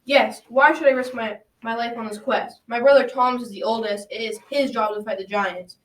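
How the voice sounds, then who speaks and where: Wary young warrior played by a school girl, highish voice, musing, radio play, echoey and off mic. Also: fairly even intonation, fairly quick, close to an everyday voice. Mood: giving in. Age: young adolescent. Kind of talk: school radio drama acting. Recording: echoey room, distant microphone.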